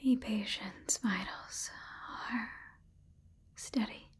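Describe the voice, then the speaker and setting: A woman in her twenties playing a calm scientist, dictating haltingly in a breathy whisper in an ASMR roleplay. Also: medium pitch. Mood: clinical, hushed. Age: 20s. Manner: slow, halting, clinical dictation. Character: calm, clinical female scientist examining an alien-infected patient.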